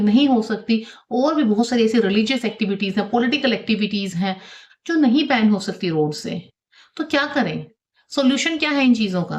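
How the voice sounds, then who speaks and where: A woman's voice: a little high, muffled recording. A middle-aged woman giving conversational opinion commentary, arguing through rhetorical questions and mixing in English phrases. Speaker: vlog host.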